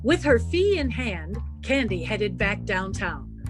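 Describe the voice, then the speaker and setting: A woman's voice: medium pitch, big pitch swings, slow pace, over music. A woman narrator delivering scripted narration for a retro radio mystery.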